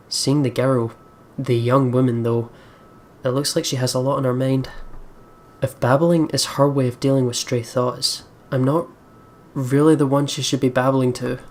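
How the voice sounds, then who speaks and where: A man's voice: mid-range voice, slight background noise. A man hosting a let's play, reading a visual novel aloud and adding chatty, playful asides to viewers.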